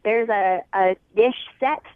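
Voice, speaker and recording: A woman's voice: mid-range voice, takes it slowly, dry recording. A woman talking casually on a telephone call to a radio show, a little hesitant and wryly amused. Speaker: phone-in guest. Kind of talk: casual phone conversation. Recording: thin narrow telephone line.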